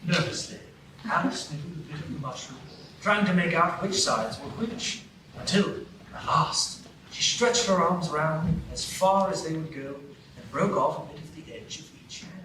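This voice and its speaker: A man narrating a storybook tale in a live radio play, telling it in a clear, even way. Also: a little high, moderate pace. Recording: roomy stage from a distance, hiss.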